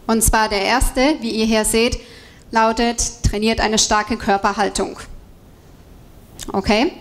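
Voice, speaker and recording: A woman in her thirties speaking as a coach on stage, explanatory, giving a motivational talk to an audience through a headset mic. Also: on the high side. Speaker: co-presenter, movement coach. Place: indoor event room with seated audience. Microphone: headset microphone.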